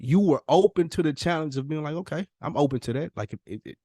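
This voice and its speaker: A man's voice: a little high, animated, fast talker, warm tone, dry recording, clean studio sound. An American man co-hosting a casual podcast, talking earnestly and repeating phrases for emphasis. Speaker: podcast co-host.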